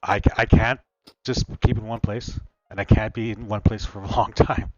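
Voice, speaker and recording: A man's voice: fairly low pitch, flat delivery, moderate pace, dry recording, muffled recording. A man chatting casually in a role-play session, telling stories in loose, repetitive phrases. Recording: music playing behind the voice. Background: music.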